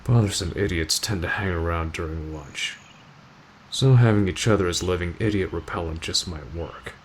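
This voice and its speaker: A man playing an aloof, stoic teenage delinquent boy, curt and deadpan, in an ASMR roleplay addressed to the listener. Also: deep voice, normal speed, slight background noise, natural voice. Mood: weary, irritated.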